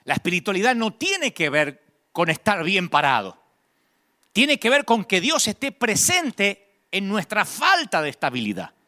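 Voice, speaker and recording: A male preacher delivers a conversational sermon in a high-pitched, forceful voice. His intonation swings widely, and he leans on key phrases, repeating them for rhetorical emphasis. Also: wildly swooping pitch, a little slow.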